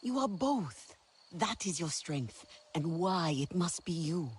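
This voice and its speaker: Husky, headstrong young alien warrior. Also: female voice, fairly low pitch, animated, takes it slowly, exaggerated voice. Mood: earnest resolve. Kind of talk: dramatic video game acting.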